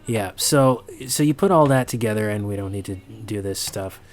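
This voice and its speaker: A man's voice: fairly low pitch, moderate pace, heavy background noise. A man presenting a casual sound design walkthrough, hesitant and thinking aloud as he goes. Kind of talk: casual screen-recorded walkthrough.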